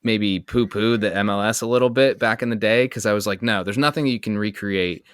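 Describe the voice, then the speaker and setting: An American man hosts a casual podcast chat in a clean, lowish voice. The sound is dry and close. He is enthusiastic and tends to wander through his thoughts as he talks. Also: brisk, a bit bright, smooth, no echo. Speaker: podcast host. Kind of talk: casual conversational interview.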